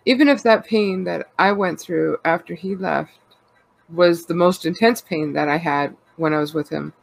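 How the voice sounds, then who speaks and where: A woman's voice: fairly low pitch, moderate pace, creaky, poor audio. A Canadian woman with a congested, cold-stuffed voice, chatting candidly and rambling, laughing often. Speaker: podcast co-host. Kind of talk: casual personal chat.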